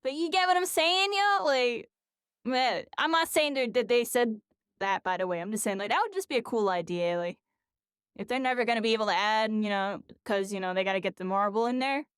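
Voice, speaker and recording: A woman's voice: fairly high pitch, brisk, clean tone, no echo, clean studio sound. A tired woman streamer chatting casually and rambling, her voice worn out after a long session. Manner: rambling, full of "like" and "you know". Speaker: game streamer. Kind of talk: casual live-stream chat.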